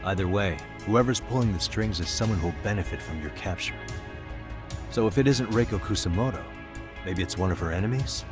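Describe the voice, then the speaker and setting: An actor playing a cool, serious detective in a video game drama, probing and reasoning aloud. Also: male voice, sits low, a little fast, over music, lightly acted. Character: cool, sharp-minded young male detective, probing and serious.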